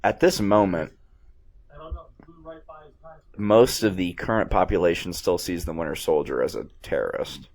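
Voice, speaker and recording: A man with a low-pitched voice hosts a casual movie reaction commentary. He talks informally and like a keen fan. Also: lively intonation, unhurried, long pauses, darkish tone.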